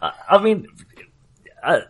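A man's voice: high voice, huge pitch swings, slow. A man co-hosting a film podcast, talking casually in a hesitant, self-qualifying way with real enthusiasm.

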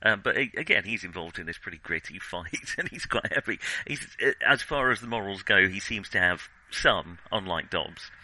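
Bright-voiced, low-pitched man. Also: animated, moderate pace, hardly any pauses, rough recording. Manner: hesitant, meandering, self-correcting. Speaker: film podcast co-host. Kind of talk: casual film discussion.

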